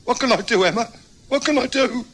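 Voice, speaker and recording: A man acting a panicked, guilt-ridden husband in an old radio drama, agitated and halting. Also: very high-pitched, a bit bright. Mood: anguished confession. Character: panicked husband confessing a hidden killing.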